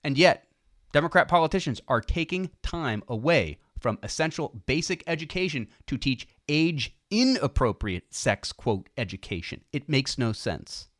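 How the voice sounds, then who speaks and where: A man reading scripted political nonfiction as an audiobook narrator, with emphatic, deliberate phrasing. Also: medium pitch, big pitch swings, a bit bright, dry recording, studio quality.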